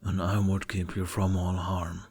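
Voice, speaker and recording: A man voicing a stern, gruff, protective father who is a king in a fantasy story. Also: deep voice, flat delivery, unhurried, a little mellow, heavily acted. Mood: tender. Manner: scolding, commanding, protective.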